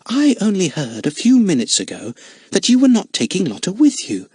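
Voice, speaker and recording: A man's voice: high-pitched, wildly swooping pitch, normal speed, breathy edge, no echo, voice pushed up, full character voice. A man voicing a kindly motherly woman in a storybook reading. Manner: gentle and kindly. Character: warm motherly woman running a caravan home.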